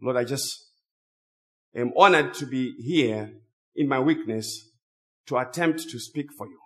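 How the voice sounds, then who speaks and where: Slow-preaching man with a cold. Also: medium pitch, bright, forceful tone. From Zimbabwe. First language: not English. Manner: slow, drawn-out emphatic phrasing. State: has a cold. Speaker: guest preacher. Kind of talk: sermon.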